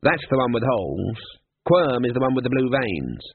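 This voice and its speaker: Man acting a bumbling, stammering wizard academic, husky. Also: medium pitch, very animated, moderate pace, full character voice. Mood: helpful. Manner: hesitant, stammering. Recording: boxy, echoey, phone-like audio.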